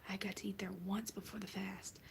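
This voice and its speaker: A woman's voice: medium pitch, flat delivery, a little fast, creaky, noisy background. A young woman from the Southern US whispering a rambling, casual chat to her viewers, full of fillers and restarts. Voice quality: soft unvoiced whisper. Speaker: vlogger. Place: at home, in bed.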